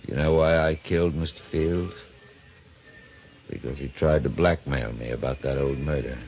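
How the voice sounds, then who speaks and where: An actor playing a guilty, secretive murderer, speaking in terse, grave lines in a 1950s radio mystery style. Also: male voice, rumbling low, fairly even intonation, normal speed, a little mellow. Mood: guarded. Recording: dull, noisy old radio recording.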